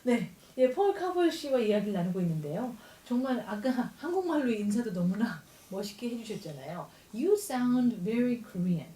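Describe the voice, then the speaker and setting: A female radio host who talks extremely fast in a mellow, dark tone. She sits a little back from the mic in a roomy studio, and a faint hiss runs under her voice. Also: fairly high pitch, very animated, distant mic. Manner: rapid, switches between languages, quick laughs. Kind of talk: bilingual radio show hosting.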